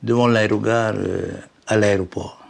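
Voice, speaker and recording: An older man, chatting casually indoors in short, quiet answers. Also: on the low side, steady tone, unhurried, vocal fry. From French Polynesia. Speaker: interviewee. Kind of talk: casual chat. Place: indoors in a home living room.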